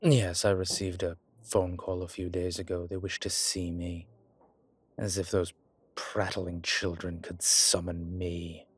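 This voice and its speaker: A man playing an ancient vampire commander in an intimate audio roleplay, speaking with formal, old-fashioned diction and a measured, haughty manner. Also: low-pitched, level tone, husky. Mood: haughty, irritated. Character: ancient male vampire commander, haughty yet tender to his companion. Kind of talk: intimate audio roleplay acting, one side of a conversation.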